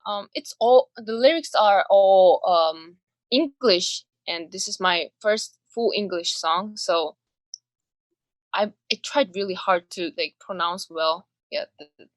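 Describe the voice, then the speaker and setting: A young woman with a Korean accent, a singer speaking English as a second language in a casual video-call interview, pausing and restarting as she searches for words. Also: medium pitch, dry recording. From South Korea. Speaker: singer and songwriter guest.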